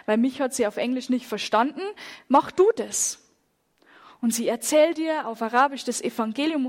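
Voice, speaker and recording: A woman speaking in a church, telling moving stories with an earnest tone and dropping to a hush at the gravest moments. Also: sits high. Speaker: church speaker on persecuted Christians. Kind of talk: sermon-style testimony.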